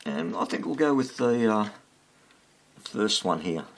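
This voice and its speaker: Slow talking guy with a gravelly husky voice, muttering short bits while he tinkers, casual repair video. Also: lowish voice, very animated, a little hiss or hum. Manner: unscripted, thinking aloud in short fragments. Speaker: hobbyist radio repairer demonstrating on video. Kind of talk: casual hands-on workbench commentary.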